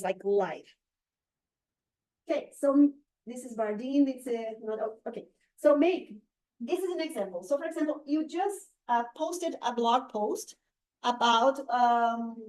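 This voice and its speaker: A woman with a Brazilian accent leads a training session in a high, light voice. She stops often, fills gaps with small hesitations and searches for the right English word. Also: a little mellow, poor audio. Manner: halting, searches for words with many fillers. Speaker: real estate marketing trainer. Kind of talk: live training presentation.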